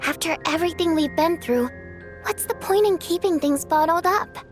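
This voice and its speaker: A high, gentle young woman. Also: moderate pace, faint music behind. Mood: caring. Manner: soft, wistful, caring. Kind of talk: video game voice acting.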